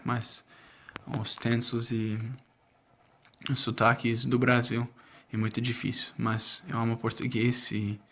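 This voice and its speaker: Flat-toned American man, hesitant. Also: mid-range voice. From United States. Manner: careful, hesitant. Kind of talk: casual testimonial.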